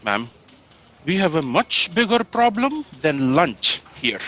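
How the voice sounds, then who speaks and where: Audio drama role: actress as serious, anxious office aide, measured pace, expressive pitch. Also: female voice, muffled recording, heavily acted. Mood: exasperated, urgent. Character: earnest, long-suffering copy aide, anxious and serious. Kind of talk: comedic audio drama acting.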